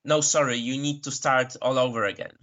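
A man from Poland with a Polish accent, giving thoughtful interview answers as a guest, pausing often and correcting himself. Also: mid-range voice, steady tone, a bit bright. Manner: thoughtful, frequent pauses and self-corrections. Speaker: interview guest who works with migrants. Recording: dull lo-fi recording.

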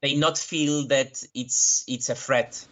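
A man from Poland with a Polish accent, giving thoughtful interview answers as a guest, pausing often and correcting himself. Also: mid-range voice, fairly even intonation, fairly bright tone. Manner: thoughtful, frequent pauses and self-corrections. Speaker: interview guest who works with migrants. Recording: dull lo-fi recording.

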